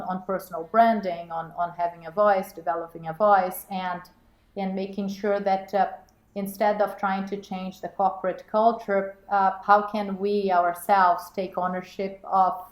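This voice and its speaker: A woman guest, a corporate managing director, talking in an interview with frequent restarts and repeated words. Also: medium pitch. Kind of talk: interview conversation. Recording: roomy echo.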